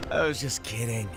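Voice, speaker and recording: An actor playing a playful, teasing, enigmatic mentor in a fantasy game cutscene, with a breezy, theatrical delivery. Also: male voice, medium pitch. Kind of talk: dubbed animated fantasy game cutscene acting. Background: music.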